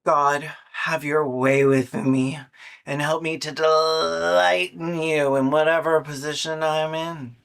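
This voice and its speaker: A video essayist playing an absurdly devout, self-pitying straight man in a deadpan, mock-earnest comic parody. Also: medium pitch, takes it slowly, creaky, smooth, soft background music. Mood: mock weary.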